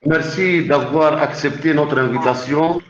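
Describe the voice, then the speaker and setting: A man's voice: fairly high pitch, a little slow. A man interviewing as a journalist, putting prepared questions in a formal, deferential way. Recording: muffled, echoey remote call.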